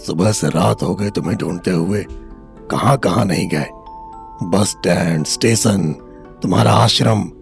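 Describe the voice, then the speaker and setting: A man playing a low, gravelly, possessive older lover. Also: measured pace, full character voice. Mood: besotted. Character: besotted, possessive older man, hard-drinking head of household. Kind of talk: Hindi audio drama acting. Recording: music bed behind the voice.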